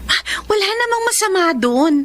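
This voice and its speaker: A woman's voice: very high voice, very animated, normal speed, fairly bright tone, heavily acted. An actress with a Filipino accent playing a hardworking, proud, plain-spoken young woman in a radio drama, with an emphatic, preachy delivery. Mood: smug.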